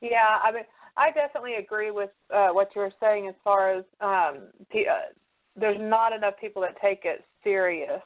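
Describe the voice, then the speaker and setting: Lady hosting an interview, mid pitch, kinda hesitant and chatty, slightly muffled lo-fi sound. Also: normal speed. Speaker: show host, interviewer. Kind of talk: casual conversational interview.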